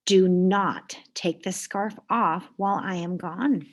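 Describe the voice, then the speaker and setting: A woman's voice: lowish voice, takes it slowly. A woman voicing an eager, determined little male pet in a children's storybook, earnest and full of wonder.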